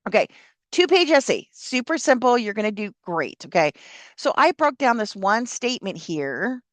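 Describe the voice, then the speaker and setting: A woman's voice: medium pitch, no echo. A woman from Southern California, an older college instructor, explaining an essay assignment in a chatty, encouraging way with lots of fillers. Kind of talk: casual classroom explanation.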